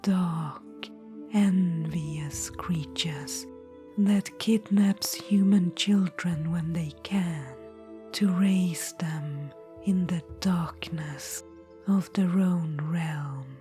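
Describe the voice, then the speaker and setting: A woman's voice: on the low side, faint music behind. A woman whispering as an undead witch-queen. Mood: hushed storytelling. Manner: soft whisper throughout, slow and intimate. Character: regal undead witch-queen, possessive and menacing. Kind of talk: ASMR audio roleplay.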